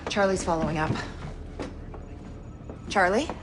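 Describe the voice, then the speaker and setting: An actress playing a brisk, businesslike intelligence operations officer in a video game, with clipped delivery. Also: female voice, on the low side, background noise, natural voice. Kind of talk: cinematic video game acting.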